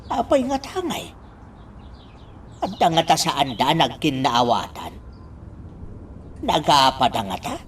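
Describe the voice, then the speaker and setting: A man plays an earnest, deferential farm worker in a radio drama. His voice is fairly high and very lively, with faint noise behind it. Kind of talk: Ilocano radio drama acting.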